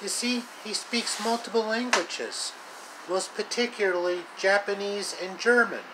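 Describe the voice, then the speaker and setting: A man's voice: sits high, a little slow, very noisy, voice pushed up. A man voicing a plucky, friendly young girl from a storybook, talking plainly. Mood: warm, reassuring. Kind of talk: storybook character voice.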